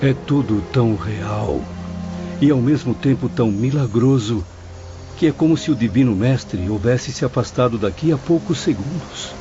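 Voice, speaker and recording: A man's voice: medium pitch, normal speed, mellow, lightly acted. A man playing a reverent, courteous professor in an audiobook drama, formal and earnest. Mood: awed, reverent. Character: Belarmino, reverent, courteous male professor spirit. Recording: dull lo-fi audio with music. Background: music.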